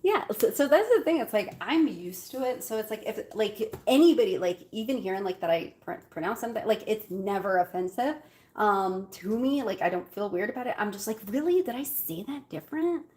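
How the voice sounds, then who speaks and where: A woman's voice: mid-range voice, lively intonation, brisk, a little mellow. A woman with an Algerian Arabic accent and Arabic as her first language, chatting casually while she bakes in her home kitchen, with lots of fillers and giggles. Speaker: cooking vlogger. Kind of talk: casual chat while baking.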